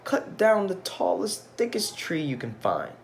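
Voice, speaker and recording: A man voicing a solemn village wise man in a picture-book folktale, giving measured instructions. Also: sits high, very animated, creaky, a little hiss or hum, voice pushed up, full character voice. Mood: solemn, instructing.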